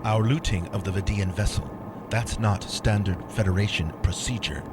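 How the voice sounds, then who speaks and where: A man playing a calm, principled starship first officer in a science fiction audio drama. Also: fairly low pitch, moderate pace, heavy background noise, natural voice. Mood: thoughtful.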